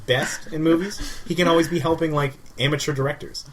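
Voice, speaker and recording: A man chatting casually as a podcast co-host, rambling in an animated way with lots of filler "like". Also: on the high side, brisk, low-quality recording. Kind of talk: casual group chat.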